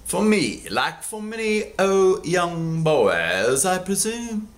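A man in his forties from Kansas reads his memoir aloud into a close webcam in a small room. His voice sits high and leaps around freely, and he takes his time. He delivers the lines with sardonic, theatrical bite, breaking into loud outbursts for emphasis. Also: very animated, slow. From Kansas, USA. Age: 40s. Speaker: author reading his own book. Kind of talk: dramatic memoir reading. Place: small indoor room at home.

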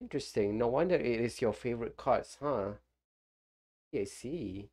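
A man's voice: mid-range voice, lively intonation, normal speed, a little mellow. A man streaming a video game who is phlegmy and still getting over the flu. He rambles casually and chats with his viewers as he plays. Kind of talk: casual live gameplay commentary.

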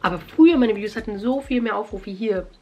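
A young woman talks to her camera from her bedroom, lively and chatty. Her voice is a bit nasal because she has a cold, and she is picked up by the camera's own mic at desk distance. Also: mid-range voice, fast talker, muffled recording. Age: young adult. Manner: chatty, animated, exclaiming. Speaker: YouTuber. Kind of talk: casual vlog chat. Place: bedroom at home.

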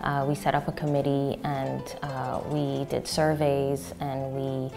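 Low gravelly woman, hesitant. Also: little pitch movement, unhurried, a little mellow, soft background music. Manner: hesitant, many fillers. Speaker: community member. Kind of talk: interview testimony.

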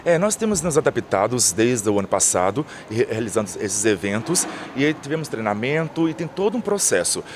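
A man's voice: medium pitch, big pitch swings, brisk, few pauses, slight background noise. A man in his thirties, an event coordinator, answers interview questions outdoors into a handheld mic. He lists items fluently, with frequent restarts. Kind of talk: on-camera interview. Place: outdoors by a painted mural wall. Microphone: handheld reporter mic.

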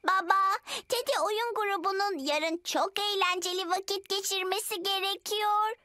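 A woman voicing a cheerful, curious little girl pig in a preschool cartoon, with childlike, expressive delivery. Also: very high voice, normal speed, no echo. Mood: excited. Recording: music under the voice.

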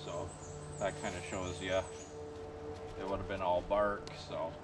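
A man in his thirties chatting casually about his work at a sawmill clearing in the woods, relaxed and folksy, with plenty of easygoing fillers. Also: sits low, measured pace, over loud music. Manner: relaxed, folksy, lots of "kinda thing" fillers. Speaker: sawmill vlogger. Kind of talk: casual how-to chat. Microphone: far camera on a tripod. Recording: distant voice over loud outdoor noise.

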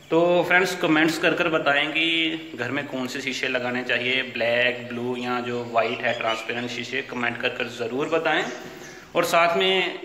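Bright-voiced man vlogging home repairs. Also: medium pitch, a little hiss or hum. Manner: chatty, explains step by step. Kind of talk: casual home-building vlog narration. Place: house under construction, indoors and on a veranda.

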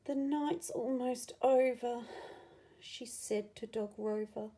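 A woman reading a picture book aloud to children, with expressive, dramatic emphasis and asides to the listener. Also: a little high, slow, breathy edge.

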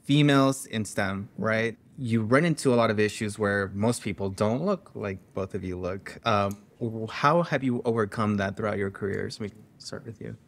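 A young man hosting an interview from a studio couch. His voice is a touch low, and his manner is friendly and affirming as he asks open questions. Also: moderate pace, vocal fry, hardly any pauses. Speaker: host. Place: TV studio set, seated on couch.

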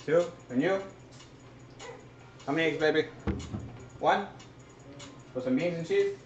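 A man in his thirties chatting casually as he hosts a home cooking show, informal and halting as he thinks aloud. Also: a little high, lo-fi sound, faint music behind. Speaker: host of a home cooking livestream. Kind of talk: casual chat while cooking. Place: home kitchen, indoors. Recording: echoey kitchen, noisy with sizzling pan.

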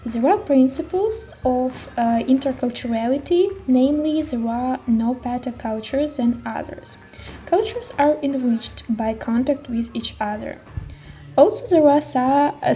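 A young woman reading an explanation in a measured, slightly halting way. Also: high voice, dark tone, rough recording. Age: young adult. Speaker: narrator. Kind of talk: read explanation.

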